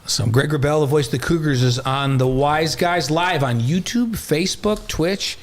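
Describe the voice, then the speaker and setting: A man's voice: on the high side, moderate pace. A man co-hosting a sports show, chatting casually and conversationally. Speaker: sports talk show co-host.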